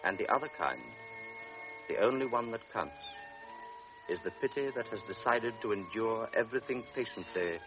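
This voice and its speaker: A man narrating a literary radio drama in a deliberate, solemn manner. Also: fairly low pitch, lively intonation, natural voice. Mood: measured. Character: measured storyteller of a pre-war tale. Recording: dull vintage radio recording with music.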